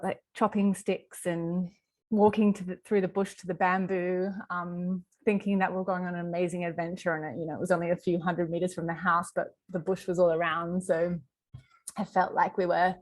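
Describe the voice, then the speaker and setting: A woman from Western Sydney with an Australian accent, chatting informally in an interview and thinking aloud as she goes. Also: fairly low pitch. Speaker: company executive being interviewed. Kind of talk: casual interview chat.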